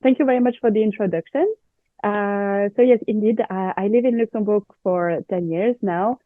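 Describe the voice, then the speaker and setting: A woman's voice: medium pitch, moderate pace, no echo, narrow, tinny audio. A woman from France with a French accent, a native French speaker with a slight cold, talking conversationally as a guest expert in an interview. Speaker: guest expert, baby planner.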